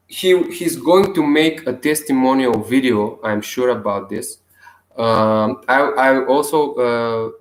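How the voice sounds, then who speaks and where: A young man from Bulgaria with a Bulgarian accent, talking casually to camera in halting English with many restarts and chuckles. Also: medium pitch, echoey room, low-quality recording. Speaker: self-healing vlogger.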